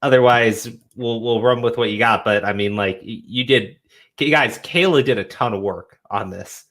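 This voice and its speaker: A young man with a cold hosts a casual live stream, coughing and rambling between stumbling restarts. His voice sits at an ordinary middle pitch and sounds relaxed and conversational. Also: normal speed. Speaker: host.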